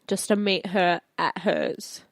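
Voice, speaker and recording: A woman's voice: on the low side, steady tone, slow pace, vocal fry, no echo. A woman playing a tabletop roleplay game, improvising casually in character.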